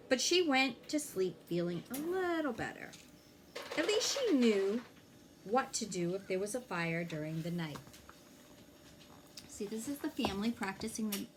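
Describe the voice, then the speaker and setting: Expressive woman reading a storybook aloud, unhurried. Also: a little high, background noise. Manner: engages young listeners with checking questions. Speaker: fire inspector reading a children's book aloud. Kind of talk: expressive children's storybook read-aloud with asides.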